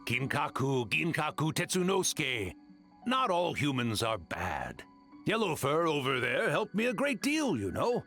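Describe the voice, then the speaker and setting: Cocky, swooping tanuki trickster elder. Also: male voice, fairly high pitch, wildly swooping pitch, a little slow, a bit bright, faint music behind, heavily acted. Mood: smug, teasing. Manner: boastful, playful, theatrical. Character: cocky, cheerful male tanuki yokai elder, proud and evasive. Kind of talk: video game voice acting.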